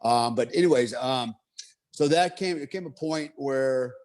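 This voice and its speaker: A man's voice: mid-range voice, measured pace, darkish tone. Rambling man in his fifties telling his life story. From United States. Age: 50s. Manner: rambling, many fillers and restarts. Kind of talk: casual autobiographical monologue.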